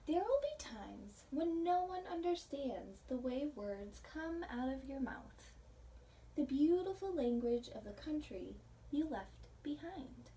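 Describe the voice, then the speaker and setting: Woman, American accent, high gentle voice, child-directed read-aloud, simple explanations, distant mic with faint noise. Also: sing-song, slow. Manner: gentle, child-directed, asks the listeners questions. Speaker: pre-K teacher.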